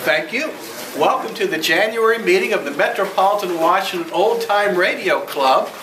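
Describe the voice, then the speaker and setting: A man giving a courteous, ceremonious and halting welcome speech as a club president, recorded at a distance in a noisy room. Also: sits high, echoey room.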